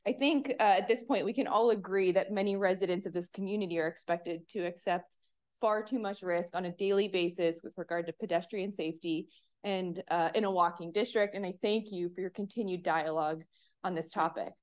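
A woman's voice: on the low side, steady tone, a little mellow. Earnest woman speaking at a council meeting. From Pennsylvania, US. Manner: earnest, measured, reads clearly. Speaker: resident giving public comment. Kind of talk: prepared public comment. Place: municipal commission meeting room.

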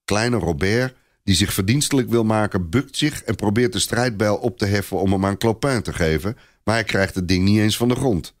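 A man's voice: on the low side, moderate pace. A man narrating a scripted story expressively, as a storyteller.

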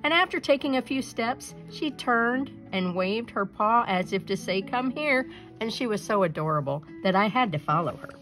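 A woman's voice: on the high side, animated, normal speed, a bit bright, soft background music, poor audio. A woman voicing a charmed, amused young lady in a storybook, with a light, delighted manner.

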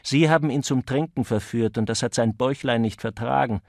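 A man's voice: mid-range voice, fairly quick, gravelly, a little mellow, dry recording, pitched below the performer's own voice. A man voicing a cool, enigmatic man who teases in a dry, condescending way, as an audiobook character. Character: cool, mocking, enigmatic man toying with his listener.

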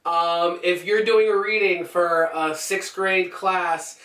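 A man's voice: sits high, little pitch movement, slow, bright, forceful tone. A young man giving casual, conversational tips to camera as a poet who performs on stage. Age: young adult. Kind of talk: casual instructional vlog talk to camera. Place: small bare-walled room indoors. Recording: echoey room, distant camera microphone.

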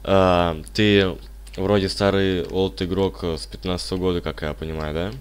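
A man's voice: deep voice, flat delivery, moderate pace, slight background noise. A man hosting and interviewing, a bit ill, asking short plain questions. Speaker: interviewer, channel host. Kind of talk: casual interview.

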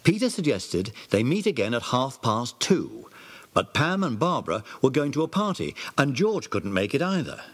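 A man narrating a children's audio drama with animated storytelling. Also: mid-range voice, normal speed, breathy edge.

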